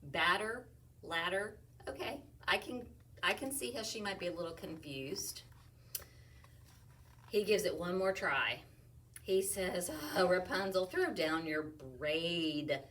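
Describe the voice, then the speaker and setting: A woman teacher talks her class through a picture book in a slow, playful and expressive way, sounding out rhyming words. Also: on the low side, distant mic. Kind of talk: read-aloud story discussion for young children.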